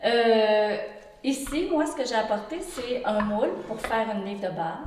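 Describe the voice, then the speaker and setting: Québécois lady in her 60s, earnest and halting, sounds far off the mic in a big echoey room. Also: fairly high pitch, animated, background noise. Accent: Québécois French. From Quebec. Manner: earnest, halting, searches for words. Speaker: interview participant. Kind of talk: reflective group interview testimony. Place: indoor room with a pump running.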